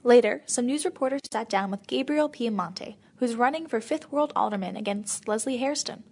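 Husky, higher-pitched woman narrator. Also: normal speed, a little mellow. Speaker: narrator, reporter. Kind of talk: scripted narration.

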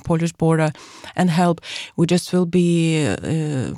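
A woman from Ukraine speaks as a guest in a podcast interview, in halting full of pauses and fillers. Her voice is low-toned and gravelly, and the studio sound is dry and close. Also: dark tone, dry recording. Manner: halting non-native English with many fillers. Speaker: guest, association chairwoman.

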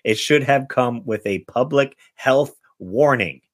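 A man's voice: mid-range voice, measured pace, no echo, clean studio sound. A man hosting a podcast, chatting casually and reading out film reviews. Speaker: podcast host.

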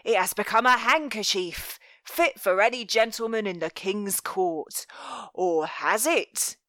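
A woman voicing a cruel, menacing male master of thieves in an audiobook, commanding and deliberate, with mock-tender threat. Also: medium pitch, a bit bright, no echo, studio quality, natural voice. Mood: sly, showy. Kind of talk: dramatic audiobook character voice.

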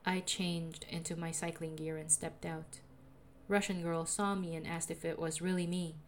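A young woman with a soothing voice, calmly narrating a personal audio diary as a podcaster. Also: sits low, normal speed, a little hiss or hum. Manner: calm, reflective, confessional.

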